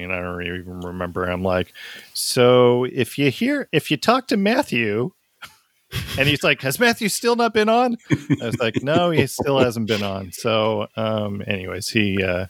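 Easygoing low-voiced man. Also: fairly bright tone, no echo. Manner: easygoing, quick affirmations. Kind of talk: casual conversation.

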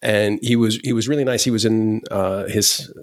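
A low-voiced man in his forties talks casually as a podcast host. His delivery is deadpan and halting, full of restarts. The recording is dry and close. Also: no echo. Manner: halting, many restarts and fillers. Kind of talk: casual conversation.

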